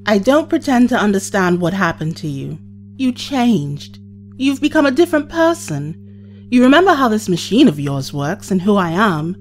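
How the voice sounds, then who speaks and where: Emotional, animated young woman played by an actress. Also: medium pitch, normal speed, faint music behind, natural voice. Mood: hurt, reproachful. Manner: earnest, reproachful, emotional. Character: hurt, emotional young woman, a time traveller's companion. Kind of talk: audio drama acting.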